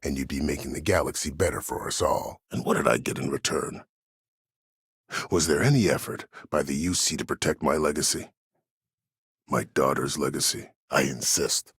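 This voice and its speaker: An actor playing a cold, proud, older former war admiral in a video game, speaking formally and with measured authority in a gravelly, husky voice. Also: male voice, rumbling low, fairly bright tone, dry recording, studio quality, exaggerated voice. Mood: bitter, then cool, commanding. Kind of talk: dramatic video game dialogue.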